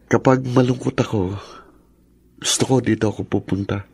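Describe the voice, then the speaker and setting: Filipino radio drama: ailing, grieving teen boy, gentle, resigned, creaky delivery. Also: mid-range voice, steady tone, normal speed, dry recording. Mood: sad, resigned. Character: sick teenage boy, grieving his sister, gentle, resigned.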